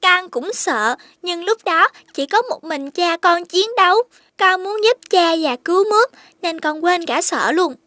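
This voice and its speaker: A woman voicing a brave, earnest and polite young child in an animated fairy tale. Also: very high-pitched, measured pace, no room sound, exaggerated voice. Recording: music under the voice.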